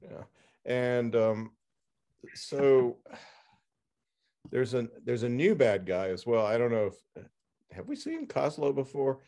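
A man hosting a casual interview, talking in a halting way as he searches for his words. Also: mid-range voice, sing-song, mellow. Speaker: podcast host interviewing an author.

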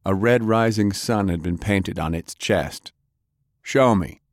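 Male audiobook narrator for adventure fiction: deep register, very dry studio sound. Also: unhurried, a little mellow, no room sound. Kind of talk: scripted narration of an adventure novel.

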